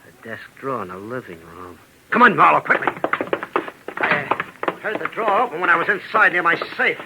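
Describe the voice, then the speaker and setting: An actor playing an uneasy, excitable man in a 1940s radio crime drama, rough-voiced and defensive. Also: a little high, brisk, very noisy. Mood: puzzled. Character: uneasy, agitated male friend of a wanted killer. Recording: old 1940s radio recording.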